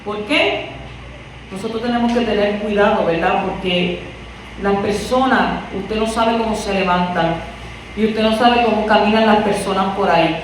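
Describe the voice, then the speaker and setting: A woman's voice: mid-range voice, moderate pace. A woman from Puerto Rico with a Puerto Rican accent, preaching a conversational sermon and asking her listeners rhetorical questions. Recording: echoey room from far off, faint noise.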